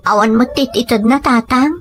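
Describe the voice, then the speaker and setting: A woman's voice: fairly high pitch, moderate pace, a crowd in the background, close to an everyday voice. A woman playing a proud, self-reliant hardworking mother, speaking earnestly and firmly in a dramatic family story. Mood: defiant. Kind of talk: dramatic Ilocano radio-style storytelling.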